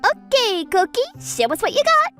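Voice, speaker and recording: A woman's voice: very high voice, huge pitch swings, normal speed, pushed and bright, faint music behind, heavily acted. A woman playing a bubbly, money-obsessed young schoolteacher with a gushing manner in a manga dub. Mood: brisk, condescending. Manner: gushing, peppers talk with French phrases.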